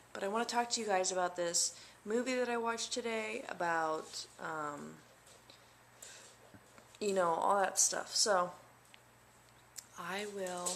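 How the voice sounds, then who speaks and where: A woman's voice: mid-range voice, gravelly, a bit bright, slight background noise. A young adult woman chatting casually to camera in a diary-style vlog, rambling with lots of fillers. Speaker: vlogger.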